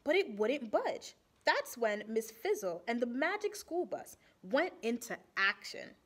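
A young woman reading a children's picture book aloud expressively, deliberately, pausing to ask and explain. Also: a little high, very animated, fairly bright tone. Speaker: storytime reader for children. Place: small indoor room with bulletin board.

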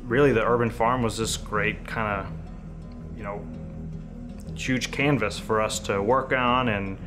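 A man's voice: on the low side, steady tone, unhurried. A man in his thirties casually recounting things in an indoor documentary interview. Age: 30s. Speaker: non-profit director. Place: indoor room, seated interview. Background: music.

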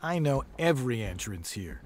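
A man's voice: mid-range voice, sing-song, moderate pace, a little hiss or hum, close to an everyday voice. An actor playing an unhoused man who squats in the shop, easygoing and rambling.

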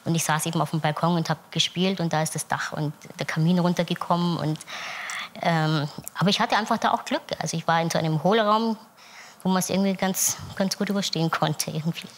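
A woman in her thirties, born in Turkey, telling her own story as a guest in a TV studio, frank and quick to laugh. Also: on the low side, a little hiss or hum. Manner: frank, laughs easily, many fillers. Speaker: talk show guest. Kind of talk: casual chat. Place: TV studio with audience. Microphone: lapel.

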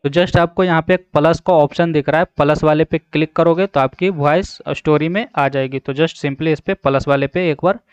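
A man's voice: on the high side, fairly even intonation, moderate pace, hardly any pauses, clean tone, dry recording. A man narrating a step-by-step app tutorial, instructing and often checking in with the listener. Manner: repetitive verbal check-ins, instructional. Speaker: tutorial host. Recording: slightly dull, dry voice-over.

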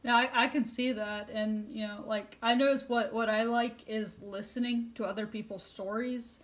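A woman's voice: on the high side, fairly even intonation, normal speed. A woman interviewing in a casual, conversational way, sharing her own thoughts as she goes. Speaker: interviewer, documentary maker.

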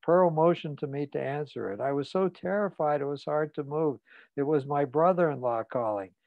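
A man's voice: a little high, gravelly, no room sound, dull audio. A man reading an audiobook, voicing a frightened, ashamed man who speaks in a muted voice. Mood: shamed. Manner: muted, halting, confessional. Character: ashamed, frightened middle-aged man confessing.

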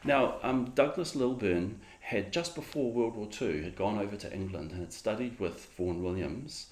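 A middle-aged man with a New Zealand accent gives an informal lecture in a conversational, halting way, often correcting himself as he goes. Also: on the low side, animated. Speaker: clarinetist giving a lecture. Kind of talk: informal lecture with personal anecdotes. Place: small room at home, indoors. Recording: roomy, echoing home room sound.

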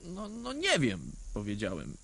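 A man's voice: a little high, wildly swooping pitch, a little slow, creaky, a bit bright, heavily acted. A man playing a naive, earnest little boy who tells his own story in a radio play. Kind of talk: scripted first-person storytelling in a radio play.

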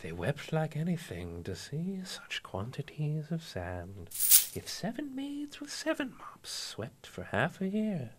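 A mad, whimsical radio-drama villain, reciting verse theatrically. He is slow and wildly swooping, over clear background noise. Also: a little high, huge pitch swings, heavily acted, low-quality recording. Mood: whimsical, eerie. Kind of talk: fan radio drama acting.

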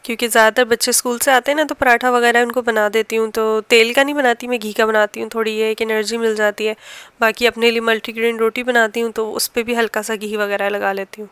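A woman with a Pakistani accent narrates a household vlog in a chatty, explanatory style. Her voice sits fairly high and is very smooth and clear. The sound is dry, with little room in it. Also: level tone, fast talker, a bit bright, no echo. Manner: chatty, explanatory, homely everyday talk. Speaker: home cooking and family vlogger. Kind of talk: casual vlog voice-over narration.

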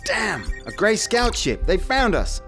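A man's voice: sits high, very animated, unhurried, creaky, bright, forceful tone, faint music behind, exaggerated voice. An actor playing an impatient, sardonic, commanding male spaceship captain in a sci-fi audio drama. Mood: alarmed, urgent. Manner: curt, commanding.

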